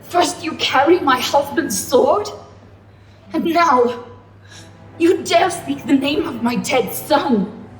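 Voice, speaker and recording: Woman acting a shrill, anguished warrior mother, echoey. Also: lively intonation, takes it slowly, pauses often, slight background noise. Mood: furious, grieving. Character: wild, anguished warrior mother, crazed by long grief. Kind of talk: audio drama acting. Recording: roomy echo with music underneath.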